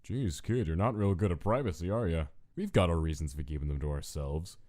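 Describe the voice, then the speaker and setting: Man acting laid-back, low-voiced skeleton guy. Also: brisk, warm tone, lightly acted. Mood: wry, teasing. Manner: casual, teasing, nonchalant. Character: laid-back, wry older-brother male skeleton monster. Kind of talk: scripted comic dub acting.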